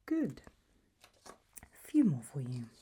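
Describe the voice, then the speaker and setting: A woman doing a whispered ASMR roleplay as an examiner, hushed and deliberate, pausing between short phrases. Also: rumbling low, wildly swooping pitch, husky. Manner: slow, deliberate, softly instructive with long pauses between options.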